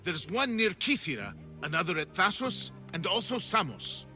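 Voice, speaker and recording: An excitable, high-voiced, anxious sculptor. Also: male voice, normal speed, music in the background. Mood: eager, explanatory. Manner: fussy, effusive, emphatic. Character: anxious, excitable hunted male Greek sculptor, theatrical and scholarly. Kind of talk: dramatic video game acting.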